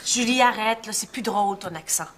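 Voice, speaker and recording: A woman's voice: medium pitch, fairly quick, close to an everyday voice. An actress playing a skeptical, teasing woman with a Quebec French accent and a blunt, mocking way of talking. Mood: teasing, annoyed.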